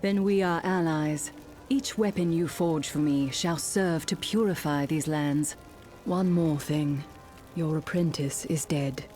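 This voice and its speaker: An actress playing a stern, self-reliant demon hunter in a video game, cool and formal. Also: female voice, sits low, slow. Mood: cold, determined. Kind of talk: dramatic video game acting. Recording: loud game music behind.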